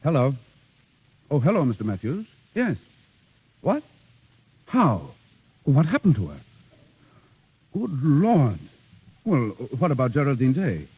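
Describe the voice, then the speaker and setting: A man's voice: mid-range voice, wildly swooping pitch, slow, pauses often, darkish tone, slightly rough, heavily acted. Dull vintage recording, exacting male drama coach. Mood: urgent. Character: devoted, exacting male voice and acting teacher. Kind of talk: old film or radio drama acting.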